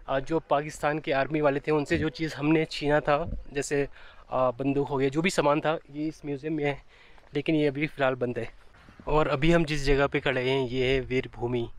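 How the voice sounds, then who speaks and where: A man in his thirties, a travel vlogger chatting casually to a handheld camera outdoors in the mountains, explaining what he sees. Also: mid-range voice. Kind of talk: casual travel vlog narration. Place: outdoors in mountain valleys and a memorial park. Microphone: handheld camera at arm's length.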